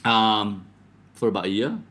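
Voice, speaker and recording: A man's voice: fairly low pitch, fairly bright tone, breathy edge. A middle-aged Malaysian man with a Malaysian English accent, telling rambling stories about his life as a guest in a casual chat. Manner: rambling, anecdotal, many restarts. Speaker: guest filmmaker.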